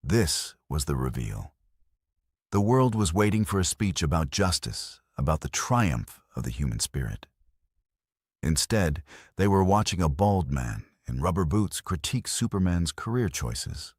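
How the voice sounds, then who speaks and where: A man's voice: deep voice, big pitch swings, measured pace, slightly rough, no room sound, studio quality. A man giving a solemn, scripted dramatic narration of a fiction story. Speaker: audio novel narrator.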